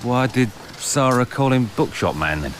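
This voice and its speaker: An actor playing a nervous, sceptical film crew member, talking colloquially in a naturalistic audio drama. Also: male voice, medium pitch, animated, moderate pace, fairly bright tone, slight background noise, close to an everyday voice. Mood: puzzled. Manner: edgy, colloquial.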